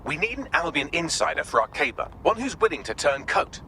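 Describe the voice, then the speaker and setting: Droll, animated British AI assistant. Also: male voice, fairly high pitch, brisk, slight background noise, full character voice. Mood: wry, theatrical. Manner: wry, wordy, sardonic, crisp. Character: droll, theatrical male artificial intelligence assistant. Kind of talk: video game mission guide dialogue.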